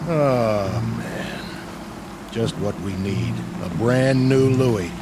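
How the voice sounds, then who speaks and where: Low-voiced weary American army sergeant. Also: male voice, expressive, extremely slow, very noisy, heavily acted. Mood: dry, wry. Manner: dry, colloquial, laconic. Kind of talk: radio drama acting.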